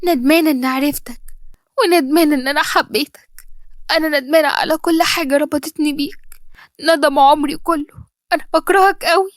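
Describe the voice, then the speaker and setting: Woman acting a high, anguished young heroine. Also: fast talker, a bit bright, dry recording, studio quality. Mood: bitter anguish. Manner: pleading, emotional. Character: wronged, anguished young woman, defiant and tearful.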